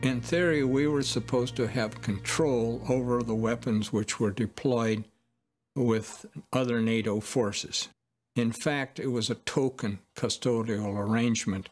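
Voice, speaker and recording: A man's voice: mid-range voice, fairly even intonation, unhurried, soft background music. An American man recollecting in a documentary interview.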